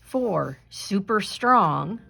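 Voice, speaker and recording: A woman reading a children's story aloud with expressive narration. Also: mid-range voice, very slow, gravelly, breathy edge, soft background music. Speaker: storybook reader.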